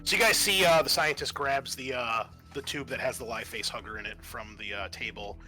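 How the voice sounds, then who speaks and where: A man acting as game master, narrating a tabletop roleplaying game in a halting, improvised way. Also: mid-range voice, bright, forceful tone, soft background music.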